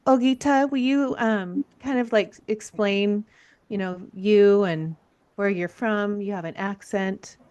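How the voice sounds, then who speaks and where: A woman's voice: medium pitch, fairly even intonation, slow pace, darkish tone, clear, resonant tone, muffled recording. A woman hosting an interview podcast, talking in an effusive, rambling way and often restarting her sentences. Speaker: podcast host. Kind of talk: casual interview.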